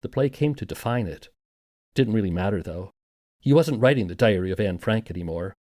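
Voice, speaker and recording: A man's voice: lowish voice, lively intonation, a little fast, mellow. A man narrating a scripted documentary podcast in a conversational, wry manner.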